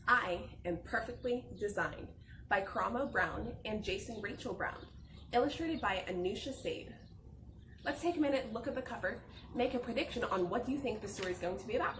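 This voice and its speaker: A woman's voice: medium pitch, lively intonation, a little fast, reverberant, background noise. A woman doing an interactive picture-book read-aloud for children, encouraging them with questions and praise.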